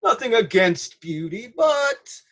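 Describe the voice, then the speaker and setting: A man's voice: very high-pitched, sing-song, slow pace, fairly bright tone, full character voice. A man playing a fussy, put-upon fairy-tale fellow, emphatic and theatrical, in an irreverent radio play.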